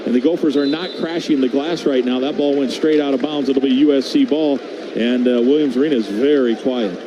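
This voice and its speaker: A man's voice: mid-range voice, fairly quick, dull audio. A man calling live basketball play-by-play on the radio, over arena noise. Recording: noisy broadcast with arena ambience.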